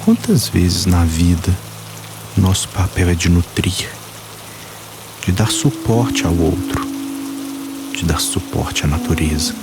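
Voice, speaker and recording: A man guiding a meditation, speaking calmly and slowly in short phrases with long pauses. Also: deep voice. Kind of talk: guided meditation. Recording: voice-over with music and water sounds. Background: soft music, rain and running water.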